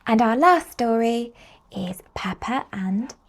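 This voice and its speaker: Female children's storybook reader, higher voice, unhurried and very expressive. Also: a little hiss or hum. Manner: expressive, slow storytelling with drawn-out exclamations. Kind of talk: animated read-aloud of picture books for young children.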